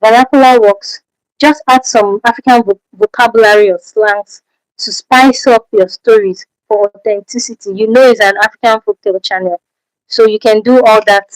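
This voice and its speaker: A Nigerian woman with a fairly high voice hosts a livestream Q&A in a dry, close-sounding space. She is a native Efik speaker and talks with a Nigerian accent, repeating her main points and tossing out rhetorical questions as she goes. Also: fairly even intonation, normal speed, no room sound, rough recording. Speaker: channel host. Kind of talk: livestream Q&A advice.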